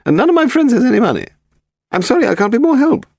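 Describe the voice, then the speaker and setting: A man's voice: very high-pitched, very animated, quick pace, vocal fry, darkish tone, dry recording, clean studio sound, pitched above the performer's own voice, exaggerated voice. A man voicing a haughty, pedantic English aristocrat, a frail lady in her eighties, in an upper-class accent for an audiobook story. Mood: grand, derisive. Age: 80s. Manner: pedantic, grand, derisive, demanding. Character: imperious frail aristocratic old lady of eighty-five, malicious.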